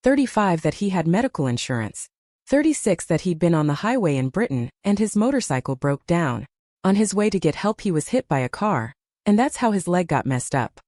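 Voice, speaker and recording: A woman's voice: fairly low pitch, sing-song, brisk, darkish tone, dry recording, studio quality. A woman narrating a reddit story aloud, reading out a long numbered list and lifting her voice on each number.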